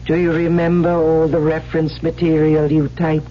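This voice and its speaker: An actor playing a cold, superior alien villain in a radio drama, speaking in a measured, formal, condescending way. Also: male voice, a little high, completely flat delivery, natural voice. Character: cold, superior male alien plotting humanity's destruction. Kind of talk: 1970s radio drama acting. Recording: dull, faintly hissy old radio recording.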